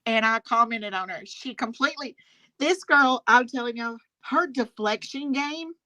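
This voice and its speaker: A woman's voice: a little high, moderate pace, bright, forceful tone, poor audio. A woman with a Southern American accent hosting a casual live-stream chat, emphatic and repeating phrases for stress. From Southern United States. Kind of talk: casual drama commentary chat. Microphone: phone on speakerphone.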